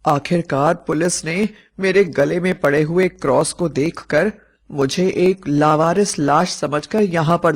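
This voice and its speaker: Woman voicing a slow, lovesick young male ghost. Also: on the low side, darkish tone. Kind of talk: storybook narration dialogue.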